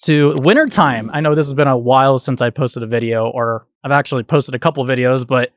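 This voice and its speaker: A man's voice: medium pitch, fairly quick. A man in his twenties hosting a podcast in a casual, chatty, rambling way. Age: 20s. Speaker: podcast host.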